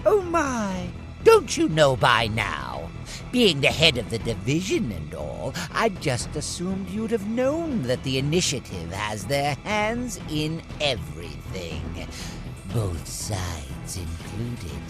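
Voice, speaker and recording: Mocking, menacing supervillain, wildly swooping voice. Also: male voice, medium pitch, wildly swooping pitch, over music. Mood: taunting. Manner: mocking, theatrical, drawn-out phrasing. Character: menacing, taunting male supervillain Fire Reaper. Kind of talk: superhero audio drama acting.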